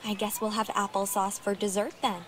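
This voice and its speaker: A woman's voice: mid-range voice, flat delivery, fairly quick, a little hiss or hum, close to an everyday voice. A woman voicing a spirited teenage girl horse rider in a video game, youthful and confident. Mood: teasing.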